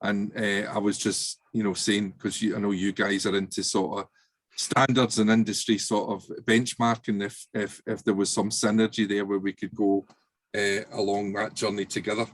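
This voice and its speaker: A man's voice: on the low side, level tone, normal speed, no room sound. A man speaking in a casual video-call discussion about volunteering, chatty and full of fillers.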